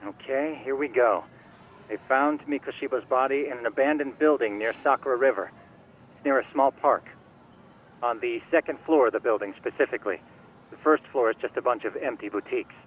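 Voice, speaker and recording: A man's voice: mid-range voice, fairly quick. An actor playing a polite, clever male informant, speaking articulately and a little formally through a phone filter. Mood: helpful, matter-of-fact. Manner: articulate, helpful, slightly formal. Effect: phone call filter. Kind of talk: video game dialogue. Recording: muffled phone call with background noise.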